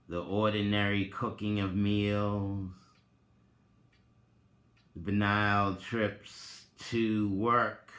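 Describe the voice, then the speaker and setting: Male reader, lowish pitch, extremely slow theatrical delivery with long pauses, echoing room. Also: a bit bright. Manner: theatrical, deliberate, drawn-out phrasing with long pauses. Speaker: reader and commentator, presenter of the recording. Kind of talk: dramatic reading with informal asides. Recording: roomy, echoing sound.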